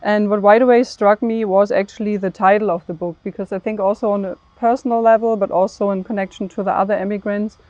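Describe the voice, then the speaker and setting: A woman speaks as a panelist in a conversational discussion. Her delivery is fairly flat in pitch, earnest and measured, feeling out phrases as she goes. The recording is dry and somewhat dull. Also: dry recording. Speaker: panelist, programme head.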